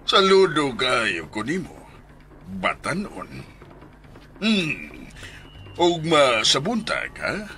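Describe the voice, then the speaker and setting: A man's voice: mid-range voice, huge pitch swings, very slow, long pauses, bright, forceful tone, a little hiss or hum, heavily acted. An actor playing a commanding, sneering henchman of a landowner in a radio drama. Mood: mocking menace. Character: domineering, mocking henchman of a powerful landowner. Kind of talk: radio drama villain acting. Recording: music behind.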